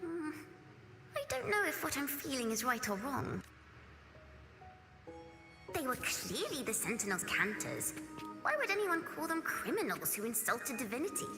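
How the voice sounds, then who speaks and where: A woman's voice: sits high, big pitch swings, normal speed, long pauses. An actress playing a wistful, troubled young woman in a video game, lyrical and reflective. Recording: long reverb, faint game music.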